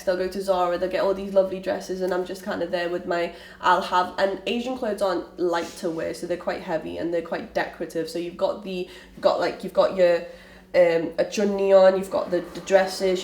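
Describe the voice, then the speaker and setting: Young woman, Liverpool accent, lowish voice, candid and rambling; roomy distant mic, faint hiss. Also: darkish tone. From Liverpool, England. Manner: candid, rambling with frequent restarts. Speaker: interviewee. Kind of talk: personal storytelling interview.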